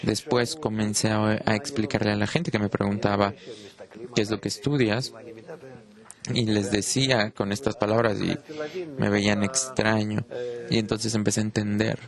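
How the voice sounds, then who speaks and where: Man, gravelly low voice, non-native speaker, halting lecture, many pauses, crowd noise behind. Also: flat delivery. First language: not Spanish. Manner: halting, frequent "eh" pauses and restarts. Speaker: Kabbalah teacher. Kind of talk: unscripted lecture.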